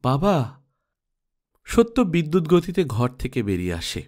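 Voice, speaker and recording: A man's voice: mid-range voice, expressive, measured pace. A man narrating a literary story as an audiobook reader, with dramatic scripted delivery.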